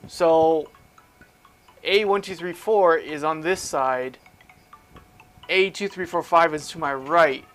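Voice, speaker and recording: A man's voice: a little high, slow pace, long pauses, fairly bright tone, soft background music. A man giving a casual step-by-step technical tutorial, posing questions to himself and explaining as he demonstrates. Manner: informal, self-questioning, explains aloud while demonstrating. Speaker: instructor.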